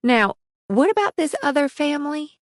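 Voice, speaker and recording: A man's voice: very high voice, big pitch swings, a bit bright, no room sound, voice pushed up, heavily acted. A male narrator voicing a weary young mother of about thirty with a dry, frazzled way of talking. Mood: wry, weary. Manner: dry, frazzled, quick to chatter.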